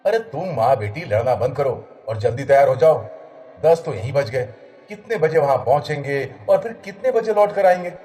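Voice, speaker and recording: A man playing a worried, impatient middle-aged father in an animated horror story, with an urgent everyday delivery. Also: mid-range voice, moderate pace, soft background music, lightly acted.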